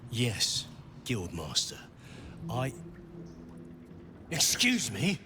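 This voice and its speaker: A man's voice: medium pitch, wildly swooping pitch, extremely slow, noisy background, exaggerated voice. A man playing a stuffy, anxious underling in a crime guild for a video game, insistent yet deferential. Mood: officious, uneasy. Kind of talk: video game dramatic acting.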